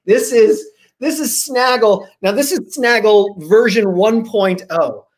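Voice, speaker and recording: A man's voice: sits high, slow pace. A man in his forties with an American accent, chatting casually and playfully as a guest on a video call, rambling as he tells stories. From Rhode Island, United States. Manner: animated, gesturing, playful, rambling. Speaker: guest author and screenwriter. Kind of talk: casual storytelling in an online interview. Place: small home room, indoors. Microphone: computer mic on a video call.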